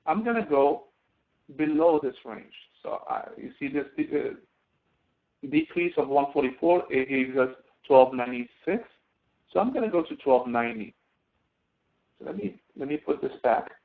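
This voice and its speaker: A man's voice: fairly high pitch, vocal fry. A man instructing in a screen-recorded tutorial, explaining step by step and thinking aloud as he works. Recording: tinny phone-like narrow-band audio.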